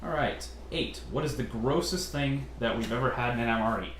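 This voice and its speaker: Easygoing deep-voiced man in a roomy echo. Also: brisk, a bit bright. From California, USA. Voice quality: generally deep voice. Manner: hesitant, many uh and um fillers, easygoing. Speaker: channel host. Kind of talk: casual Q&A chat. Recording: roomy echo, faint noise.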